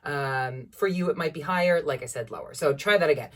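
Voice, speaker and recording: A woman in her thirties with a low-placed speaking voice, leading a singing warm-up at home in an encouraging, instructive way. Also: brisk, distant mic. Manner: encouraging, instructive, demonstrates vocal sounds. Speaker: voice teacher. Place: small room at home with a piano.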